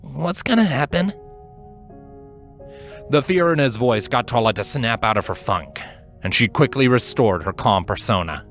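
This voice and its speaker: A man narrates a story in a fairly low voice. His intonation moves widely and builds suspense. Quiet music plays underneath his dramatic reading. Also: lively intonation, moderate pace. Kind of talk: dramatic scripted fiction narration.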